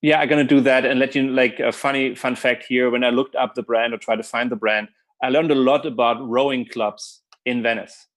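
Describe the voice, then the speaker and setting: A man in his thirties joins a livestream as co-host from a room at home. He banters casually in a medium-pitched voice over an earbud headset. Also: fairly even intonation, fairly quick. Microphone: earbud headset on video call.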